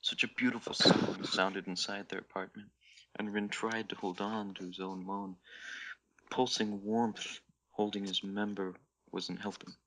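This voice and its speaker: A man's voice: fairly low pitch, level tone, unhurried, a bit bright, slight background noise, rough recording. A man narrating fanfiction on a podcast in a theatrical, comic dramatic reading, stumbling over the odd word. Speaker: podcast member reading fan fiction.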